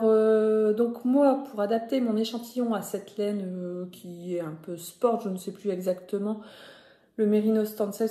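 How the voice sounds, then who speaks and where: A woman's voice: mid-range voice, dark tone. A woman from northern France with a Ch'ti accent, hosting a knitting podcast in a casual, hesitant chat full of self-corrections. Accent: Ch'ti (northern French). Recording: echoey room.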